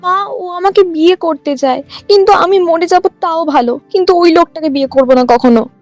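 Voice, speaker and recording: A woman voicing a gentle, earnest young village woman, the yielding younger sister in a cartoon. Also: very high voice, normal speed, dry recording, faint music behind. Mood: distressed. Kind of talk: cartoon voice acting.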